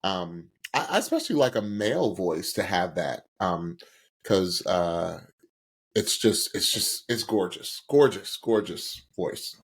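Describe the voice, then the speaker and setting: A man with a low-pitched voice talks as a podcast co-host. He reacts in loose, casual commentary, gushing and doubling up words to stress his points. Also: expressive, measured pace, slightly rough. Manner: gushing, repeats words for emphasis.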